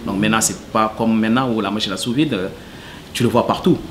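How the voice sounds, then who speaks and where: A man's voice: fairly low pitch, fast, noisy background. A man from Senegal, answering interview questions earnestly and hesitantly, full of fillers. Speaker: cook, interviewee.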